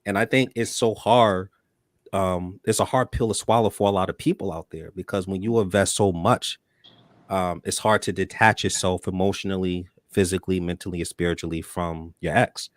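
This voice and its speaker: A man hosting a live online talk show, speaking in a relaxed, conversational way with casual slang. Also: sits low, normal speed, no room sound. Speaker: host.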